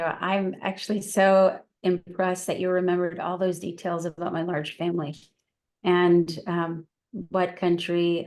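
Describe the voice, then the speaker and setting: A woman in her forties from Queens, New York, speaks in a slightly low voice as a podcast guest. She explains things carefully. She often hesitates, restarts words and adds small fillers. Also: a little mellow. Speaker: interview guest. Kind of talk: casual interview.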